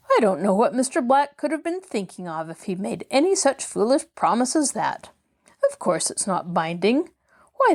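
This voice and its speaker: A woman's voice: on the high side, darkish tone. A woman voicing a formal, matter-of-fact male landlord in a storybook, reasonable but unbending. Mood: indignant, dismissive. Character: brisk, businesslike grown man landlord, reasonable but unbending.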